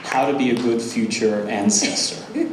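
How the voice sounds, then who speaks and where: A young man interviewing an author, speaking thoughtfully with some halting starts. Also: medium pitch, sing-song, echoey room, crowd noise, background noise. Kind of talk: moderating a conversation.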